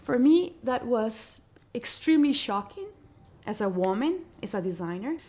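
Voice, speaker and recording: A woman's voice: fairly high pitch, sing-song, normal speed, a little mellow, slightly rough. Earnest woman. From Colombia. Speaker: guest, social entrepreneur. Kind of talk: interview answers.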